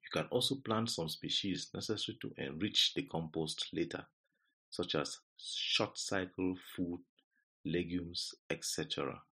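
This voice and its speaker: Deep-voiced Cameroonian man, slightly husky. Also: unhurried, sharp tone. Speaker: instructor. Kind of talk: scripted lesson narration.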